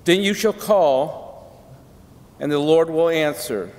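A middle-aged man reading scripture as a lector at a lectern in a large church, with measured, solemn pacing. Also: on the high side, a little mellow. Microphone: lectern microphone. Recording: long church reverb.

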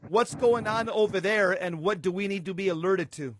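An older man co-hosting talk radio, putting questions in a halting way and repeating his words. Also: sits high, fairly bright tone, slight background noise. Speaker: radio co-host.

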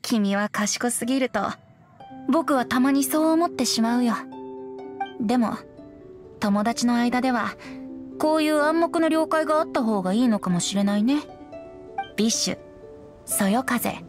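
Youthful male wind spirit, light high voice, lyrical, unhurried, anime game storyteller, music behind. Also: lively intonation. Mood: gentle, wistful. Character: youthful boyish wind spirit bard, gentle and wistful. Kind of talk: anime video game voice acting, poetic narration.

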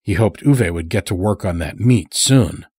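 A man narrating a scripted dark tale as a dramatic storyteller. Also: deep voice, lively intonation, moderate pace, breathy edge, dry recording, clean studio sound.

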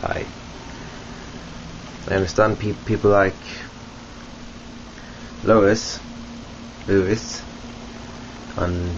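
A young Swedish man with a Swedish accent talks slowly and haltingly into a close webcam, stopping and restarting his sentences. His voice is very deep and flat. The home recording is muffled and lo-fi, with a clear steady hiss. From Sweden. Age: young adult. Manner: hesitant, many ums and restarts. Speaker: vlogger. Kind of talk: casual self-introduction to webcam. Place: small room indoors at home. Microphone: webcam at close range. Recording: dull lo-fi webcam audio with hiss.

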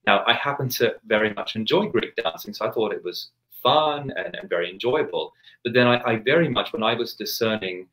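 Guest priest, 30s, from England, low voice, careful and hesitant, video-call sound. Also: male voice, lively intonation, bright, forceful tone, rough recording. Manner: measured, many restarts and hesitations. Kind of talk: thoughtful interview answer. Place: home study with bookshelves, video call.

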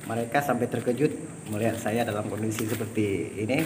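A man's voice: lowish voice, fairly even intonation, brisk, background noise. A man narrating an outdoor vlog in a chatty way, addressing viewers as friends. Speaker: bushcraft vlogger.

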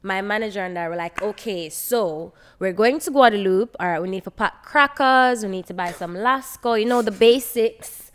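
Clear-voiced young Jamaican woman. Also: mid-range voice, normal speed. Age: young adult. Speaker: podcast guest, actress. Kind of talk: casual conversational storytelling. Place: bright indoor open-plan room on a sofa. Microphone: close podcast mic on boom arm.

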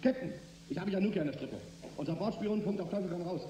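A male actor plays a jittery, flippant spaceship crewman in a radio drama. His voice is fairly high and very quick, with a sardonic edge. The room echoes around him. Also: warm tone, close to an everyday voice. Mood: flippant, agitated. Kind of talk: German radio drama acting. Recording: echoey room, faint noise.

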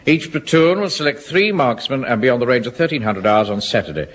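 An actor playing a pompous, fussy middle-aged bank manager who commands a home guard platoon, in an old radio comedy. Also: male voice, medium pitch, animated, a little fast. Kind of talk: 1970s radio comedy acting. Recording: old radio recording with loud hiss.

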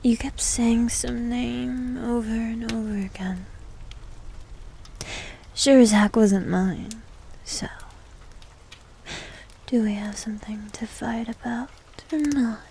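A woman's voice: on the high side, mellow, slight background noise. Woman acting whispery, teasing succubus demon girl. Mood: pouting, jealous. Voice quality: breathy, mostly whispered. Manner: coy, teasing, slow and drawn-out. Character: needy, teasing, doting female succubus demon servant. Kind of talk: intimate ASMR roleplay. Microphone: close ASMR microphone.

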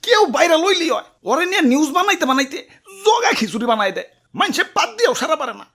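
A vain lizard boss from a comic cartoon, speaking with a Bangladeshi accent. The voice is very high and swings wildly, full of theatrical exclamations. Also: female voice, very animated, quick pace, fairly bright tone, heavily acted. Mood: exasperated. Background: background music.

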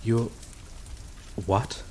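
A woman playing a grieving, possessive vampire in a dramatic ASMR roleplay, speaking haltingly and emotionally with long pauses, vengeful and pleading. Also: mellow, a little hiss or hum. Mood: menacing, coaxing. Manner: halting, slow, emotional delivery with drawn-out pauses.

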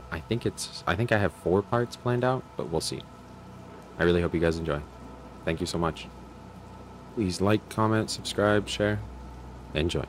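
A man's voice: deep voice, a little hiss or hum. A young man, a channel creator, talking casually and informally to his viewers. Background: faint music.

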